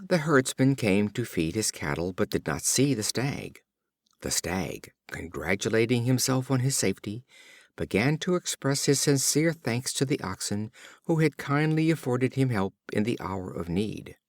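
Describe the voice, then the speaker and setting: A man narrating fables from a script as an audiobook reader, in an expressive, theatrical storytelling manner. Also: mid-range voice, no room sound, studio quality.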